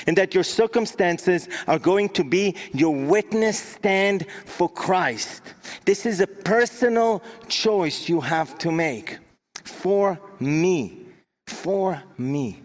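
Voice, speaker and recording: A young man from Israel with an Israeli accent, a native Hebrew speaker, preaching an earnest sermon with emphatic repetition as a guest preacher. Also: fairly high pitch.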